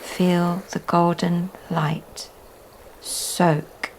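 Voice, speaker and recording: A woman leading a guided relaxation meditation in a soothing, hushed way, speaking in short phrases with long pauses that often trail into breath. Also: fairly low pitch, flat delivery, slight background noise, rough recording. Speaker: yoga nidra guide, holistic health coach.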